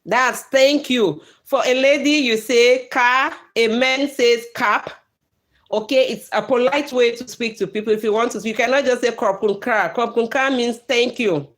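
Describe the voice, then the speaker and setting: A Cameroonian-accented woman whose first language is Bakweri, hosting a live stream, reading out viewer comments in a lively way with frequent laughs and pidgin phrases. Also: on the high side, normal speed. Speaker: livestream host.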